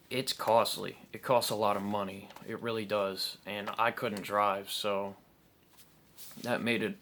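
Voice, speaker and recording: Young male vlogger, low voice, hesitant and frank, lots of pauses and restarts. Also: a bit bright, a little hiss or hum. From South Carolina, United States. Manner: halting and candid, many ums and restarts. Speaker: vlogger telling his recovery story. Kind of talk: unscripted personal storytelling to camera.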